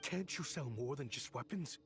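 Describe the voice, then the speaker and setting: A man's voice: a little high, animated, moderate pace, creaky, a bit bright. A guarded, grave exiled scholar speaks with earnest, widely moving intonation over game music. He is a principled inventor weighed down by regret. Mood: resolute, weary. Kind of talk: video game voice acting.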